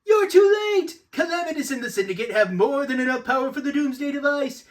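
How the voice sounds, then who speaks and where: An actor playing a gloating, megalomaniac cartoon villain who rants and shouts theatrically. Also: male voice, extremely high pitch, expressive, a little fast, distant mic. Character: gloating megalomaniac male villain, wild and unhinged.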